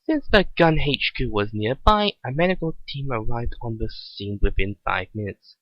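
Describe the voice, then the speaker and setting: A man giving an amateur dramatic reading of a story, halting and stumbling over phrases as he goes. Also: mid-range voice, expressive, no room sound. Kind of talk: dramatic reading of fan fiction. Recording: dull lo-fi recording.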